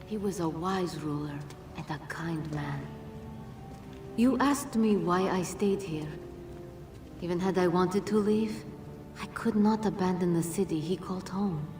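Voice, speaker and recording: A woman playing an ancient, solemn female Jinn guardian in a video game, speaking formally and gravely. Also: lowish voice, natural voice. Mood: grave, measured. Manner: formal, measured, grave phrasing. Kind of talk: video game cutscene acting. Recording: echoey, distant, loud music behind.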